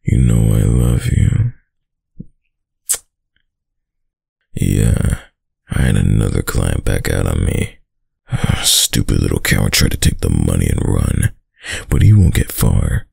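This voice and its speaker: Man acting as very deep, gravelly mafia boyfriend. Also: animated, darkish tone, no room sound, clean studio sound, full character voice. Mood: amused, doting. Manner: slow, smug tough-guy drawl with pet names. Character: young mafia heir boyfriend, dominant, doting, menacing. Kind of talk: boyfriend roleplay ASMR audio drama.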